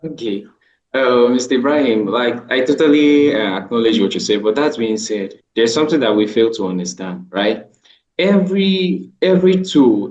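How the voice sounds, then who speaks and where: A man's voice: fairly low pitch, darkish tone. A man in a panel debate, talking emphatically and often adding "right?". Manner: emphatic, with frequent "right?" tags. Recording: dull, echoey room.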